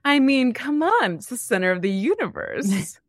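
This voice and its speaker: A woman's voice: a little high, big pitch swings, normal speed, dry recording, studio quality. A woman co-hosting a podcast in casual chat, talking in an emphatic, wry, teasing way. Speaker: podcast co-host.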